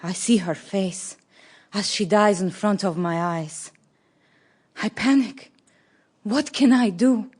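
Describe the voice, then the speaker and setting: A brave Afghan woman who carries health care to remote places. She is a determined mother, speaking in a mid-pitched voice as a radio play monologue. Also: slow, pauses often, darkish tone, husky. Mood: haunted, grave, then panicked. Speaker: actress in an audio play. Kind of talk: dramatic monologue, radio theatre.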